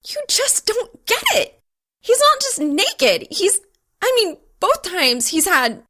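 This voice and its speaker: A woman's voice: extremely high pitch, big pitch swings, slow pace, pushed and bright, pitched above the performer's own voice, heavily acted. A woman voicing a hot-tempered, easily flustered young female college student in a story reading. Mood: shrill frustration. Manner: hissing, sputtering, shrieking when frustrated.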